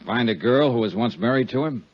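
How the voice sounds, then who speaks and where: A man's voice: medium pitch, animated, a little fast, slightly rough. An actor playing a tough, dry male insurance investigator in a 1950s radio detective drama, both narrating and in dialogue. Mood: brisk, businesslike. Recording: dull 1950s radio recording, music behind.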